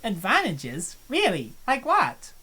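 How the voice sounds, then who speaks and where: A woman voicing an earnest young college friend who gently pries, in a fan dub of a visual novel. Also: medium pitch, huge pitch swings, takes it slowly, breathy edge, exaggerated voice. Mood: concerned.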